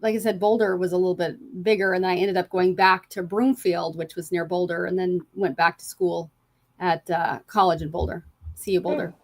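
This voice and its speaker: A woman from Colorado, co-hosting a casual talk show and chatting quickly about her small-town upbringing. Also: on the low side, little pitch movement. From Colorado, USA. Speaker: co-host. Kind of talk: casual radio show chat.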